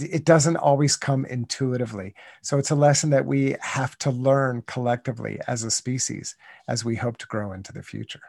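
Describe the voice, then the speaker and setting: A man's voice: medium pitch, vocal fry, darkish tone, no echo, studio quality. An American man in his sixties speaking as a guest in an interview, thoughtful and earnest, often restarting with "you know". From United States. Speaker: guest, contemplative writer. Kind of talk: reflective interview talk.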